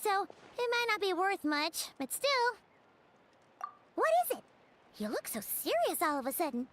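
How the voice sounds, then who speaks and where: A woman voicing a small, excitable, childlike fairy companion in an anime game, squeaky and chatty. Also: slight background noise, heavily acted. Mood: curious, surprised. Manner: chatty, exclaiming, refers to self in third person. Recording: music behind the voice.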